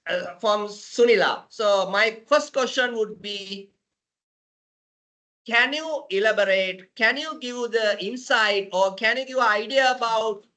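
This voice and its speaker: A woman with a Sri Lankan accent hosts an unscripted podcast. Her voice is very bright and forceful. She speaks slowly and haltingly, repeating phrases as she introduces and questions. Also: mid-range voice. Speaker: podcast host.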